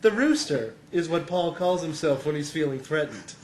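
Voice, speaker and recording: A man with a somewhat high voice narrates a humorous essay. He reads scripted lines with open amusement, as if near a chuckle. The room is audibly echoey, with a light layer of noise. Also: big pitch swings, normal speed, darkish tone. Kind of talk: scripted first-person comic narration.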